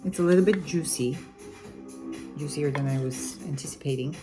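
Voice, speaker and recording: A woman's voice: low voice, moderate pace. A woman from Armenia with an Armenian accent, chatting casually through a cooking vlog in her home kitchen, rambling with lots of fillers and self-corrections. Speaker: cooking vlogger. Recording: loud background music.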